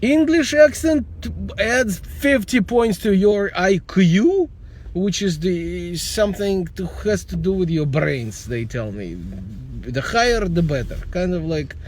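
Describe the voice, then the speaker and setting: High-voiced Russian-accented man driving. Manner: rambling, deadpan joking, halting with restarts. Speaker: vlogger. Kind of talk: casual monologue to camera. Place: in a car while driving. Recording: road noise in a moving car.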